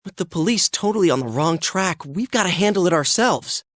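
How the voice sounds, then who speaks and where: A man voicing an excitable, earnest teenage boy in a video game dub, with a slangy, blurting way of talking. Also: sits high, fairly quick, no room sound, clean studio sound. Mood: indignant, determined.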